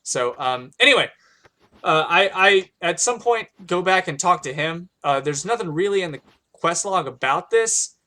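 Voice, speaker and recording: A man with a hoarse, strained voice hosting casual gameplay commentary, rambling with lots of restarts. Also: high voice, expressive, moderate pace, sharp tone. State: hoarse, a little winded. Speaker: gaming channel host.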